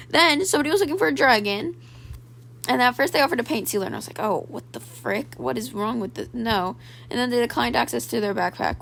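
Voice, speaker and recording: A young woman narrates her gameplay in a loose, rambling voice-over, dropping "like" and "um" into most lines. Her voice is raspy with a gravelly, fry-tinged edge, sitting at an ordinary middle pitch for a woman. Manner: chatty, filler-heavy storytelling, full of "like" and "um". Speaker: gaming video creator. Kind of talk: casual voice-over commentary on gameplay.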